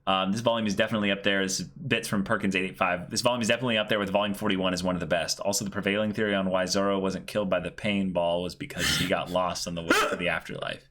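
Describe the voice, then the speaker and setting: A man's voice: low voice, little pitch movement, racing, hardly any pauses, fairly bright tone. A man co-hosting a livestream, chatting casually and reading out viewer comments in an agreeable, appreciative way. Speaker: co-host of a livestream reading audience messages.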